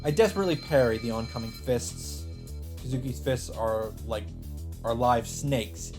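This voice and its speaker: A man with a mid-pitched voice narrates a visual novel for a let's play. He reads deliberately and with dramatic emphasis, with faint music underneath. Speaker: let's play host reading the game's text aloud.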